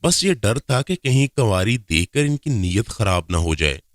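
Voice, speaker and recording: A man's voice: on the low side, big pitch swings, normal speed, no room sound, clean studio sound. A man reading a scripted first-person story aloud as a narrator.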